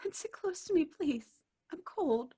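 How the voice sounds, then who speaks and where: High-voiced, frightened gangster's girl. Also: animated, measured pace, warm tone, no room sound, clean studio sound. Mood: scared. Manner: pleading, plaintive. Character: frightened, pleading gangster's girlfriend. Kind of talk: old-time radio horror acting.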